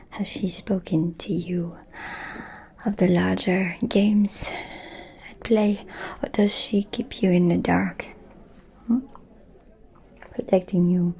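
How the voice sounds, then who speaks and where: A woman performs a seductive, scheming vampire noblewoman for an ASMR roleplay. Her voice is a very husky, breathy whisper with a mellow color. She speaks slowly and deliberately, confiding gossip with sly pauses. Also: medium pitch, heavily acted. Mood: probing, insinuating. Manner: slow, deliberate, insinuating with pauses.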